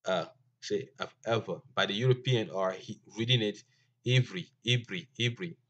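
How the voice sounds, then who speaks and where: A man whose first language is Ewe, giving an informal language lesson in a slow, halting way with many fillers and restarts. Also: lowish voice, a bit bright. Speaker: teacher. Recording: dull lo-fi recording.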